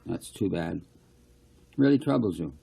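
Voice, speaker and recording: A man's voice: low-pitched, animated, measured pace, creaky, dark tone, breathy edge, pitched below the performer's own voice, exaggerated voice. A man voicing an older rural farmer in a storybook reading, plain-spoken, curious and musing in a folksy way.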